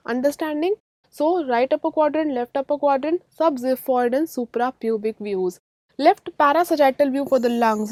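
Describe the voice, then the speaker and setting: A woman with an Indian accent teaches a medical class in a high-pitched voice. She is didactic and emphatic, restating key terms and putting short check questions to her students. The sound is dry, with very little room echo. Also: a little mellow. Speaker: radiology lecturer. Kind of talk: medical exam-prep lecture.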